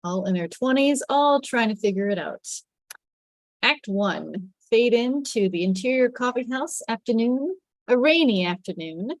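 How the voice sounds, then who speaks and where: A woman's voice: fairly high pitch, animated, unhurried, no echo, rough recording. A woman on a video call, introducing herself and reading a script aloud. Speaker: actor reading stage directions.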